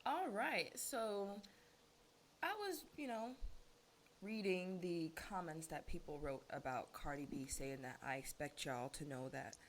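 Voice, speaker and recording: A woman from Jamaica co-hosting a casual discussion, speaking in relaxed slang. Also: deep voice, unhurried, a little hiss or hum. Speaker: podcast co-host.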